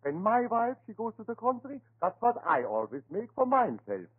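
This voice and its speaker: An actor playing a garrulous, good-natured German immigrant shopkeeper, a butcher with a German accent and broken English, in a 1930s radio drama. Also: male voice, high-pitched, wildly swooping pitch, normal speed, slightly rough, heavily acted. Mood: chatty. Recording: old tinny 1930s radio recording.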